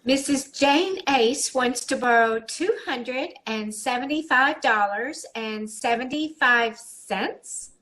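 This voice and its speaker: A prim female credit clerk on the office line, put on by a man, crisp and formal, ending her turns with "over". The voice is raised well above his own and comes across a little distant. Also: very high voice, slow, hardly any pauses, sharp tone, voice pushed up, full character voice. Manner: crisp, ends each turn with "over".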